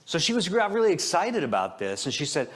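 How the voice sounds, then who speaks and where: A man's voice: fairly high pitch, big pitch swings, a little fast. A man preaching an informal, conversational sermon as a pastor, with a joking, self-deprecating manner and rhetorical questions. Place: church service, speaking before a congregation.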